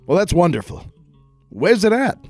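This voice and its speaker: Casting: male actor as unimpressed traveling adventurer, lightish pitch, courteous but wary, comic radio play over music. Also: very animated, normal speed, darkish tone. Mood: awkward, polite. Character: wary, muscular traveling grail hunter, polite but unimpressed. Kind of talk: 1940s-style radio play acting.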